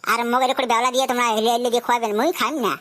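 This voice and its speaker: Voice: mid-range voice, level tone, rapid-fire, fairly bright tone, slight background noise, lightly acted. A performer voicing a spoiled, stubborn teenage son in a comedy cartoon, whining and arguing in a North Bengal accent. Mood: sulky, annoyed. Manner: whining, argumentative.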